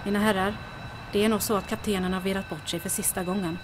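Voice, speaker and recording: An actress playing a cool, self-possessed young wartime heroine in an audio drama, with a dry, sardonic delivery. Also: female voice, medium pitch, steady tone, background noise, natural voice. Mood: composed.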